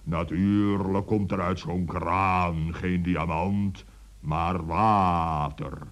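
An actor playing a kind, dignified giant in a children's radio play, solemn and measured. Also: male voice, low-pitched, steady tone. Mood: solemn, kindly.

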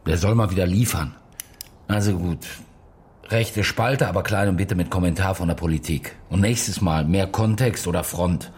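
Deep-voiced curt newspaper editor played by an actor. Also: male voice, normal speed, a little mellow, natural voice. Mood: dismissive, bossy. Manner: curt, dismissive. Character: jaded, demanding male newspaper editor. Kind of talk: radio play dialogue.

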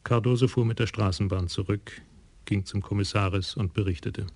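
A man narrating a crime radio play in an even, measured way. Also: fairly low pitch, vocal fry, breathy edge.

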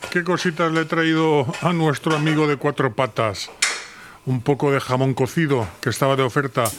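A man with a Spanish accent from Spain playing an ordinary, soft-hearted, grumbling husband in a slice-of-life radio drama. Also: fairly high pitch, noisy background, natural voice. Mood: warm. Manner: colloquial, muttering to himself, teasing. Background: music.

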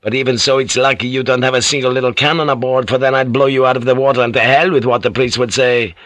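A man's voice: mid-range voice, steady tone, fast talker, fairly bright tone, no room sound, voice pushed up, natural voice. A man voicing a cocky, hot-blooded ship's pilot, vehement and blustering, in a seafaring audiobook story. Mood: bantering.

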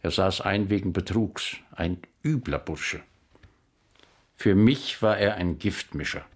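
An actor playing a terse, matter-of-fact prison commandant in a radio crime drama. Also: male voice, deep voice, slow, husky, exaggerated voice. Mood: curt, offhand. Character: male prison commandant, curt, offhand, dismissive of prisoners.

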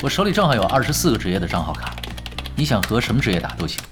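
A man's voice: fairly low pitch, big pitch swings. A man acting in an audio drama as a shrewd, teasing young gaming veteran who talks casually and without hurry. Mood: easygoing, confident. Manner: casual, unhurried, lightly teasing. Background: background music.

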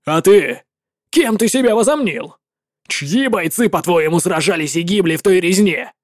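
A woman's voice: lowish voice, expressive, normal speed, no echo, studio quality. A woman voicing an arrogant, hot-tempered male military legate who barks orders. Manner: barking, domineering, shouting orders.

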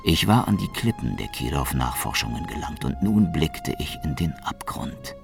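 An actor playing a hard-boiled, bitter secret policeman who narrates his story in a brooding, deadpan radio-drama style. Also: moderate pace, full character voice. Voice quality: deep, gravelly. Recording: music behind the voice. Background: music.

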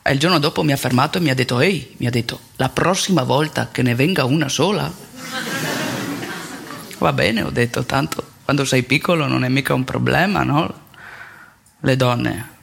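An older former priest in a radio theatre monologue. He is mild and devout, talking in a colloquial, confessional way with small tag questions. His medium-pitched voice carries a self-deprecating plainness. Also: normal speed, gravelly, fairly bright tone, husky, heavily acted. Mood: confiding, wry.

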